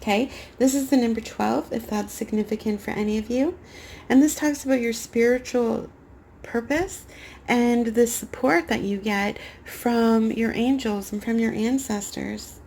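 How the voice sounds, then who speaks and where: A young woman giving a rambling spiritual tarot reading to camera, her voice raspy as she gets over a cold. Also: fairly high pitch, steady tone, unhurried, slight background noise. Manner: soft, meandering spiritual talk with many fillers. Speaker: tarot card reader.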